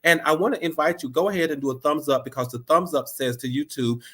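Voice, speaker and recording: A man's voice: a little high, quick pace, a bit bright, breathy edge. A man with a congested, stuffy voice hosting a live motivational channel, upbeat and encouraging, repeating phrases for emphasis. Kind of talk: live-streamed motivational talk.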